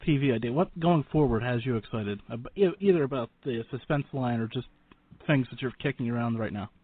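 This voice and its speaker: A man's voice: medium pitch, fairly quick, breathy edge, phone-quality sound. A man hosting a radio talk show, interviewing in an informal, rambling, joking way. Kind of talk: casual chatty interviewing.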